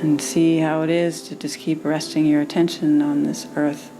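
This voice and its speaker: A woman meditation teacher leading a guided meditation in a soothing manner, with gentle pauses between phrases. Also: deep voice, level tone, music in the background, low-quality recording.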